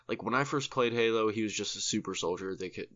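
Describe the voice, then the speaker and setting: Low, forceful man in lo-fi podcast chat. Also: fast talker. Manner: slangy, heavy casual swearing. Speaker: podcast co-host. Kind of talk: casual enthusiastic podcast chat.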